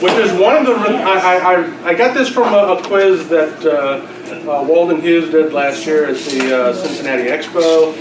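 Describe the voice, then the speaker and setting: A man with a notably high voice hosts a live quiz. The microphone sits away from him in an echoey room, and a loud crowd surrounds his voice. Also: moderate pace, far from the mic. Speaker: quiz master. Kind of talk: live quiz hosting at a club luncheon. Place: indoor luncheon room with an audience. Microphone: distant microphone in the room. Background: loud crowd chatter.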